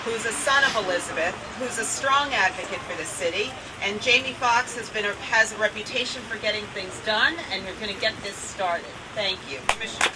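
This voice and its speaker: A woman's voice: medium pitch, a little fast, few pauses. A woman speaking formally as master of ceremonies at a public event, introducing and thanking speakers. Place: outdoor public announcement event. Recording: distant mic, loud outdoor street noise.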